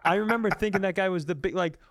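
A man's voice: sits high, fast, dry recording, studio quality. An American man co-hosting a comedy podcast, ranting casually in a blunt, profane, mocking way.

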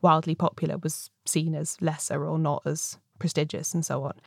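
A woman who makes a podcast on crime fiction, explaining in a measured, expository way. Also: deep voice, level tone, gravelly, dry recording, studio quality. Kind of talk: informed interview explanation.

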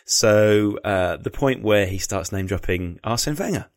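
A man's voice: lowish voice, expressive, normal speed, dry recording, studio quality. A man co-hosting a podcast, putting questions in a casual, conversational way. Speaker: podcast co-host, interviewer. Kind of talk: casual interview chat.

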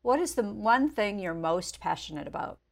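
A woman's voice: medium pitch, animated, moderate pace. A woman hosting a podcast, reading a scripted introduction and then chatting in an upbeat, welcoming way. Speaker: podcast host.

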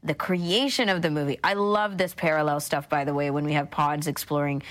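A woman's voice: low-pitched, steady tone, fairly quick. A woman hosting a radio show in a casual, conversational style.

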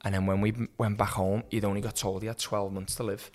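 A man with a Liverpool accent talks in a loose, rambling way and laughs often as he tells his story. His voice sits a little low. Faint music plays beneath the podcast. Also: little pitch movement, fast talker, darkish tone. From England. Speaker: podcast guest, former student. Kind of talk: casual interview chat.

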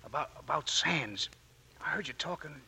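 An actor playing a mortally wounded young sailor, weak and gasping, speaking in halting broken phrases. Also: male voice, on the high side, lively intonation, gravelly, bright, forceful tone, heavily acted. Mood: weak, desperate. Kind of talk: 1940s radio acting. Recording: noisy, clicking old radio recording.

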